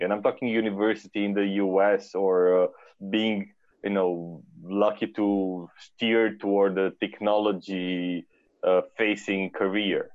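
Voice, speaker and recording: A man's voice: fairly low pitch, no echo, narrow, tinny audio. A man from Romania talking as an interview guest, hesitant, with many fillers and restarts. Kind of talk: casual interview talk.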